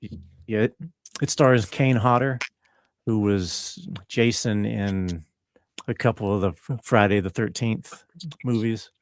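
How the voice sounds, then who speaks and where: Slow-talking man with a low voice. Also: steady tone. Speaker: interview guest, composer and musician. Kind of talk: casual conversational interview talk.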